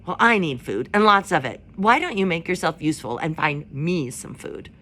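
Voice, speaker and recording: A woman's voice: on the low side, big pitch swings, normal speed, sharp tone, heavily acted. A woman voicing a sly, hungry male fox who growls his demands.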